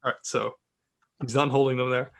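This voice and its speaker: A man's voice: medium pitch, little pitch movement, brisk, gravelly. A man reading script narration and stage directions aloud in an amused, theatrical way with joking asides. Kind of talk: dramatic reading of a film script.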